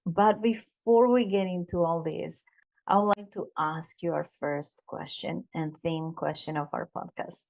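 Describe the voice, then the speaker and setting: A woman hosting a podcast interview, speaking deliberately with some fillers and repeats. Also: fairly low pitch, creaky, a little mellow. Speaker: podcast host. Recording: dull lo-fi sound.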